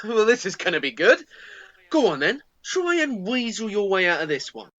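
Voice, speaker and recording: A woman's voice: mid-range voice, big pitch swings, moderate pace, fairly bright tone, highs cut off. A woman playing a hot-tempered, accusing passenger with a sarcastic, confrontational manner in an amateur radio play. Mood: scornful.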